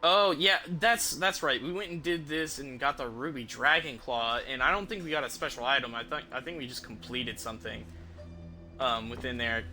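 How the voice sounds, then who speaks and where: A man doing casual commentary for viewers on a game stream, thinking out loud as he goes. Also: medium pitch, normal speed, faint music behind. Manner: thinks aloud, hums while deciding.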